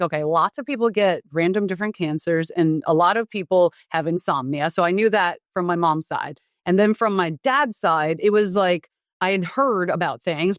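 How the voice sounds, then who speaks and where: A woman doctor who grew up in the US after being born in Taiwan, explaining frankly in a relaxed interview with many fillers and restarts. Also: on the low side, no room sound, studio quality. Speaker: doctor and guest. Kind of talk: conversational interview.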